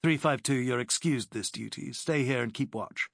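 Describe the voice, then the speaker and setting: A man voicing a resolute young British officer who gives crisp commands. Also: medium pitch, normal speed, fairly bright tone, no echo, studio quality. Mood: commanding.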